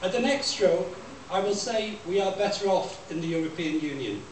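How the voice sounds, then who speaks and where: A man gives a measured, declamatory live reading of a poem to a room. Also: high voice, lively intonation. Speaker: poet. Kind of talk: live poetry reading, repetitive deadpan recitation. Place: small library room with audience. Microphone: microphone on stand, captured by distant camera. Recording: echoey room from far off, noisy.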